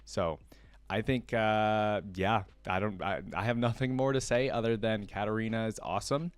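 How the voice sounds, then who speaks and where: A man's voice: lowish voice, moderate pace, clean tone, no echo. A man hosting a reaction video, reading a story aloud and adding casual, conversational fan commentary.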